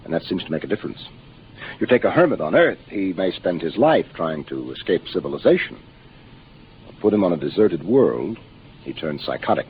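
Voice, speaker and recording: A seasoned American spaceship captain from a 1950s radio drama, speaking in a low voice with wide inflection. He explains things with matter-of-fact authority. The old broadcast is muffled. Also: very animated, fairly quick, slight background noise. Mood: grave, explanatory. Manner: commanding, explanatory.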